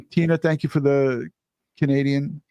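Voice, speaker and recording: A man's voice: medium pitch, steady tone, takes it slowly, dark tone, clean tone, no room sound, lo-fi sound. Blunt man reading viewer messages. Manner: blunt, swears freely. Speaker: livestream co-host.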